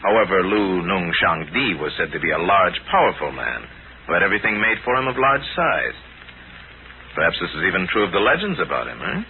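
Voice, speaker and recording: An American actor playing a calm, commanding pulp hero sleuth, asking measured, polite but firm questions in 1940s radio style. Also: male voice, sits low, gravelly, narrow, tinny audio, full character voice. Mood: probing. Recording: band-limited, hissy 1940s radio recording.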